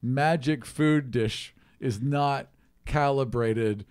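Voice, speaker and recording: A man running a tabletop game as game master, narrating casually in halting, conversational descriptions. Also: medium pitch, darkish tone, smooth, no echo, studio quality.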